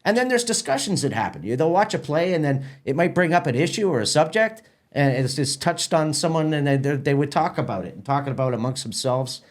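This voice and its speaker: A man with a Canadian accent chatting casually as an interview guest, explaining things in a halting, rambling way with repeated words. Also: fairly high pitch, lively intonation. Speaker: festival organiser and stage manager, podcast guest.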